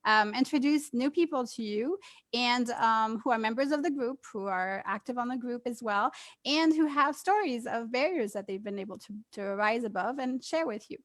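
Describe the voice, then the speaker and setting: A woman's voice: a little high, normal speed. A woman co-hosting an interview, encouraging her guest and using some fillers. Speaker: interview co-host.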